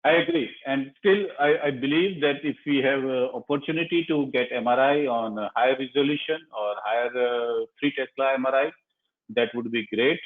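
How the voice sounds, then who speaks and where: A man with an Indian accent moderates an online question session as a surgeon, explaining in a measured way with hesitant pauses. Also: medium pitch. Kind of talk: live online discussion, answering audience questions. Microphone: online video call. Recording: muffled, narrow-band internet call audio.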